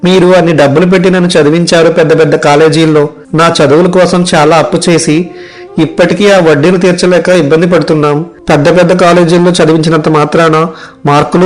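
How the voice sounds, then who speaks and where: A man playing a weary, worried young software worker and dutiful son in a story dialogue, plaintive and complaining. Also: a little high, close to an everyday voice. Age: young adult. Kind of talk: animated moral story dialogue.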